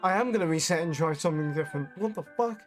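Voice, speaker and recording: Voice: medium pitch, animated, very fast. A gamer doing let's play commentary and reading game text aloud, in a casual way with plenty of swearing. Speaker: gaming YouTuber. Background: video game music.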